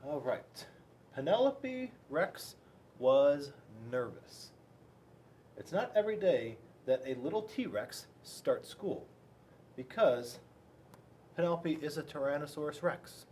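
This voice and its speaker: American male, school officer doing a kids' read-aloud. Very varied pitch, playful, chatty, faint background noise. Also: very animated, mellow. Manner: playful, rambling, with dramatic pauses. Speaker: school resource police officer reading to children. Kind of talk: picture-book read-aloud with chatty asides to children.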